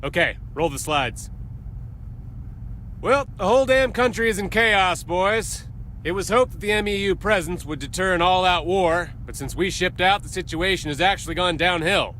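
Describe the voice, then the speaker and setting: A fairly high, forceful American officer briefs his Marines in a video game. He is blunt and businesslike, with clear vehicle noise behind him. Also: moderate pace, low-quality recording. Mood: brisk, urgent. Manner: blunt, commanding. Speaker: military officer.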